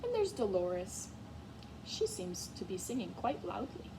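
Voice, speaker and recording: A woman teacher giving a read-aloud lesson to young children in an expressive, encouraging way, often putting questions to her listeners. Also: medium pitch, measured pace, gravelly, noisy background. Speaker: music teacher. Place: school classroom, in a reading corner.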